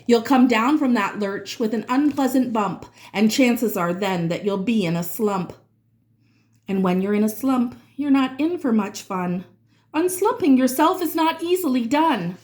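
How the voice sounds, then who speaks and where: High-voiced woman reading a children's storybook aloud. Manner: expressive, dramatic phrasing with drawn-out words. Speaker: children's read-aloud reader. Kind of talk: expressive storybook read-aloud in rhyming verse.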